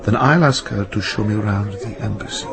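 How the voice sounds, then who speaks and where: An actor playing a charming, daring Soviet gentleman who is secretly a spy in a radio drama, courteous and theatrical. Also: fairly low pitch, fairly quick, creaky, a crowd in the background. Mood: courteous, sly.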